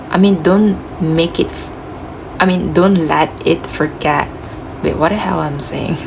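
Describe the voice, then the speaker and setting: A woman with a gravelly voice giving a casual, reflective self-help talk, full of "you know" and "like", her voice cracking as she loses it. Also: low voice, background noise. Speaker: motivational speaker.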